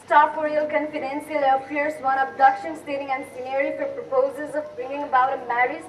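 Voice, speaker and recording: A woman's voice: high-pitched, flat delivery, brisk, natural voice. A young woman playing a romantic, spirited bride-to-be in an amateur stage play. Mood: puzzled, indignant. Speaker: student actor. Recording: distant mic, noisy room.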